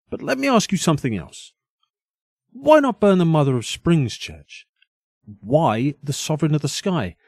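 Man acting as husky, stern older inspector. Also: mid-range voice, darkish tone, dry recording, studio quality. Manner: measured, authoritative, formal. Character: stern, probing older male inspector questioning a young arsonist. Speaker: voice actor in an audio drama. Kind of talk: dramatic interrogation dialogue.

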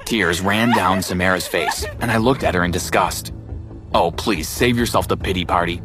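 A man's voice: low-pitched, moderate pace, music in the background, natural voice. An actor playing a bitter, wounded young man who narrates his own thriller dramatically in the first person. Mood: cold, bitter.

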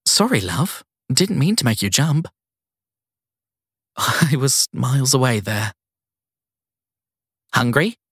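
A chatty middle-aged guesthouse landlady, played by a male narrator, pitched up from his natural voice. She is homely, affectionate and brisk, in an audiobook dialogue style with a close, dry sound. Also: sing-song, a bit bright, husky, no room sound, exaggerated voice. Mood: apologetic, cheery. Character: friendly, chatty middle-aged woman running a seaside guesthouse.

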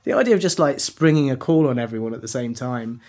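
A man's voice: medium pitch, expressive, fast talker, a little mellow. Opinionated man on a podcast panel. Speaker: podcast presenter. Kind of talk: casual panel discussion.

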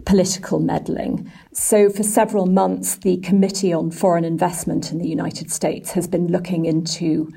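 Woman, journalist interviewee, lowish pitch, creaky, dark husky tone, analytical explanations. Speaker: business and technology editor.

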